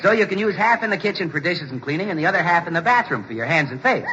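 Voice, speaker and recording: A man's voice: a little high, animated, rapid-fire, pushed and bright. An American man playing a jovial, relentlessly salesy announcer in 1940s radio comedy, with a hearty, polished delivery. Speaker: announcer and comic sidekick. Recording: muffled, hissy 1940s radio recording.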